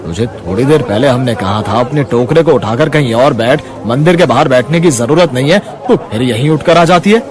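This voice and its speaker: A man's voice: medium pitch, expressive, a little fast, hardly any pauses, natural voice. A man voicing a rude, bullying shopkeeper in a cartoon who talks harshly, with faint music behind. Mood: bossy. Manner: harsh, shouting.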